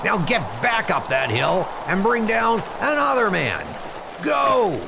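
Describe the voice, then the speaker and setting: A man voicing a sadistic, bullying British drill sergeant, barking orders in a strained shout for a military comic drama. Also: high voice, big pitch swings, slow pace, gravelly, background noise, voice pushed up, exaggerated voice.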